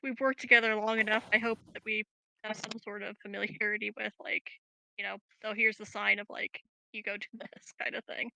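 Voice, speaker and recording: A woman's voice: medium pitch, little pitch movement, a little fast, vocal fry, bright, forceful tone, dry recording, rough recording. A giggly, hesitant woman chatting casually as a player in a role-playing game.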